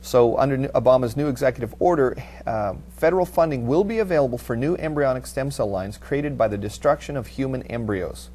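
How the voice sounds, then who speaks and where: Male TV host with halting delivery. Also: medium pitch, darkish tone. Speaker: TV panel host. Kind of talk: panel discussion, reading news articles. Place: TV studio at a panel table. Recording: audible background noise with hum.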